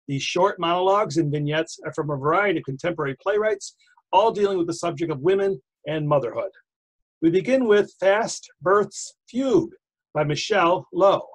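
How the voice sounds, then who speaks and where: A man's voice: fairly high pitch, animated, moderate pace, low-quality recording. A man hosting a theatre reading, giving a scripted introduction over a video call. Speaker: host and director introducing readings.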